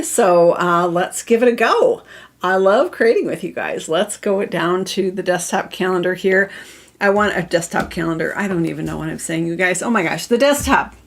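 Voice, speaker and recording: A middle-aged woman with a cold, congested and a little weak-voiced, chatting casually as she hosts a live stamping craft lesson from her craft room. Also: mid-range voice. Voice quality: voice not strong, cough drop in mouth. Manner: chatty and friendly, many fillers, laughs at herself. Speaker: craft demonstrator hosting a live stream.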